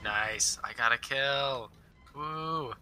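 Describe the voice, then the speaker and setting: A man talks casually while playing along in a video game. His voice is very bright and sharp at a middling male pitch. There is faint noise in the recording. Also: extremely slow. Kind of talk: casual gaming chat.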